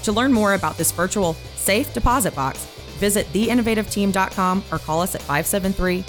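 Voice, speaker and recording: A woman's voice: mid-range voice, fairly quick. A woman reading scripted promotional narration as an advertisement announcer. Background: guitar music.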